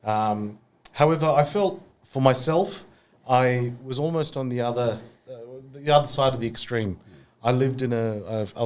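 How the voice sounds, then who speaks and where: A man's voice: medium pitch, a little mellow, slight background noise. An Australian man in his thirties, co-hosting a podcast in casual chat, reflective and thinking aloud with many fillers. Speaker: podcast co-host.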